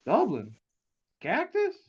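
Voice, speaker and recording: A man's voice: high voice, huge pitch swings, slow, breathy edge, full character voice. A man playing a chatty, rambling waitress in a comedy table read, recorded with dull lo-fi sound. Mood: surprised, keen. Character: chatty, rambling restaurant waitress turned weapons specialist. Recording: dull lo-fi call audio.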